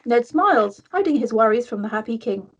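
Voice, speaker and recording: A woman's voice: a little high, expressive, a little fast, dull audio, a little hiss or hum. A woman reading stage directions as the narrator of a script table read.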